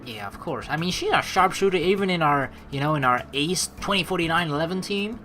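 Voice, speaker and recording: A woman's voice: sits low, animated, fairly quick, fairly bright tone. A woman hosting a let's play, chatting informally with rambling asides and reading the game's story text aloud. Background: game music.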